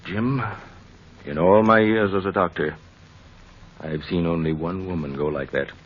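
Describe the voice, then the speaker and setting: Casting: a solemn, persuasive older doctor, very low paternal voice, vintage radio drama, faintly noisy recording. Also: male voice. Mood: solemn, grieving. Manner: paternal, oratorical, with dramatic pauses. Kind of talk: old time radio drama acting. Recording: muffled old radio recording, faint noise.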